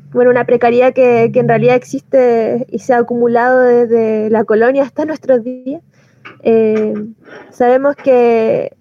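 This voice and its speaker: High-voiced Chilean woman, slightly muffled recording. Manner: hesitant, repeats words while explaining. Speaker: community soup kitchen organizer. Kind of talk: informal spoken testimony. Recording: slightly dull, dry lo-fi recording.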